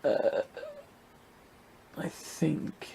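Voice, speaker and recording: A man's voice: extremely slow, dark tone, a little hiss or hum. Casual, rambling British man reviewing hobby kit. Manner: hesitant, rambling, thinking aloud. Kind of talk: casual unscripted product review, talking through a demonstration.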